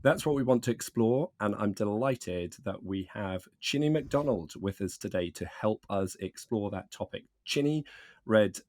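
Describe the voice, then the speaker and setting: A man co-hosting a podcast with a slight croak from a cold, joking wryly and formally introducing a guest. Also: lowish voice, lively intonation, normal speed, a little mellow, dry recording, clean studio sound. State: hoarse from a cold. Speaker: podcast co-host.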